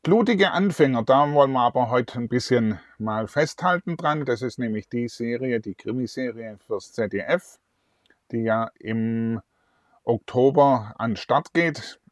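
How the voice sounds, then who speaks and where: A man's voice: medium pitch, animated, a little slow, no echo, studio quality. A middle-aged man hosting a celebrity interview, chatty and upbeat, wearing a lapel mic indoors. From southwest Germany. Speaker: host.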